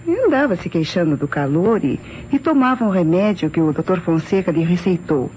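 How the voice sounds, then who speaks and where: An actress playing an uneasy, defensive wife in an old radio drama, hesitant and theatrical, with a Brazilian accent. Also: female voice, on the low side, animated, fairly quick, darkish tone, natural voice. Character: uneasy, defensive wife of a missing rich man. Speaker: actress in a radio mystery serial. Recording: muffled, noisy old radio recording.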